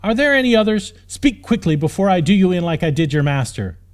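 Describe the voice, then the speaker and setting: Young female prisoner, performed by a man, fantasy audiobook: determined, threatening, sardonic, pitched up over music. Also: big pitch swings, fairly quick. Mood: threatening, commanding. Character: frazzled, fierce, determined young woman prisoner.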